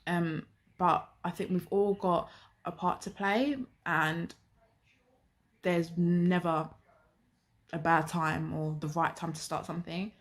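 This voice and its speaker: A young woman speaking sincerely and in a measured way about youth taking part in change. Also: on the low side, flat delivery. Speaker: youth advisory group member. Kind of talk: reflective talk.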